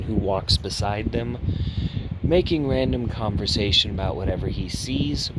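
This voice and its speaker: A man narrating an amateur horror story in a measured storytelling manner, with slight stumbles. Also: on the low side, very noisy. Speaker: narrator and voice actor of a fan horror dub.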